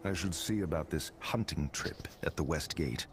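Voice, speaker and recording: A man's voice: rumbling low, normal speed, background noise. An actor playing a grave, determined male adventurer in a fantasy game, serious and brooding. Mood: grave, resolute.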